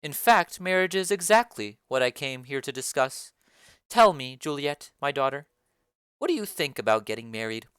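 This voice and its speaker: A woman doing a stern, low noble mother. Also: animated, smooth, no echo, clean studio sound. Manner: measured, formal, lowered and distant delivery. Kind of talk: dramatic reading of a play.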